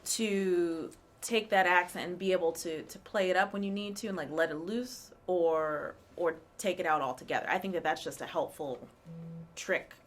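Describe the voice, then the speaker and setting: Low-pitched woman co-hosting a chatty show. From rural area. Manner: chatty, expressive, quick to laugh. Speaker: co-host and actor. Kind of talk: casual live show chat.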